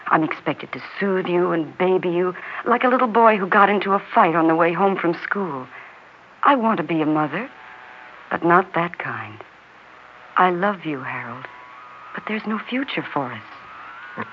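An American woman acting in 1960s radio drama as a married man's lonely, wounded but clear-eyed mistress. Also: lowish voice, expressive, measured pace. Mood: hurt, resigned. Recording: muffled 1960s radio recording, faint noise.

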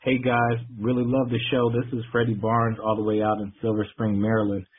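A man with a husky voice leaving a casual voicemail question for a show as a listener, over the phone. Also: fairly low pitch, little pitch movement, a little fast, a little hiss or hum. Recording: tinny, narrow phone voicemail line.

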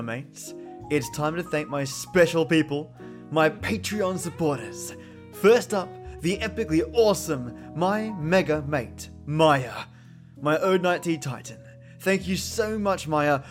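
A man presents a scripted podcast outro. His voice is a little high and his manner effusive and matey. Guitar music plays clearly behind him. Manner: effusive, chummy address to listeners. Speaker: podcast host. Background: plucked guitar music.

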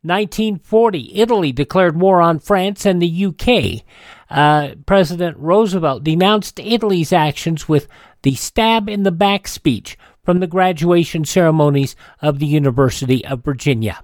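A man's voice: a little high, normal speed, no room sound, studio quality. A man narrating as a radio host, reading scripted historical notes.

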